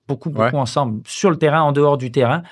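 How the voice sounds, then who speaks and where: A man in his thirties from France, a former footballer reflecting on his career in a casual podcast interview, pausing often with hesitations and restarts. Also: fairly high pitch.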